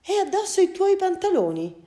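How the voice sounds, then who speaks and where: A woman's voice: very high voice, sing-song, fairly quick, dark tone, pitched above the performer's own voice. A woman voicing a bewildered, absent-minded father in a storybook, speaking in a raised, flustered voice. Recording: roomy echo.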